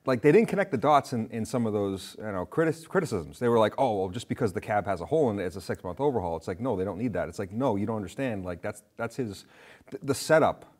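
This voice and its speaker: A middle-aged man chatting casually on a film show in a rambling, halting way, often interrupting himself. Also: fairly low pitch, lively intonation, a little mellow. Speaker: film discussion show panelist. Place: indoor studio with curtains, seated.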